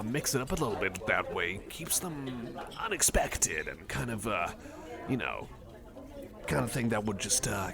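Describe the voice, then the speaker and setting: A man chatting casually to the listener in an ASMR roleplay, rambling with a hesitant, geeky enthusiasm. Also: lowish voice. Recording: cafe chatter behind the voice. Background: cafe crowd ambience.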